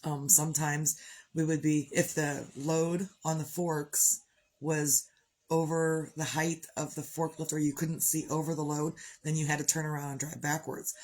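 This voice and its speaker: A woman with a congested voice who keeps clearing her throat, talking on a live video in a chatty, upbeat, rambling way about gratitude and her morning. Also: sits low, level tone, moderate pace, a little mellow. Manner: chatty, upbeat, wanders off topic. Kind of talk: rambling motivational chat.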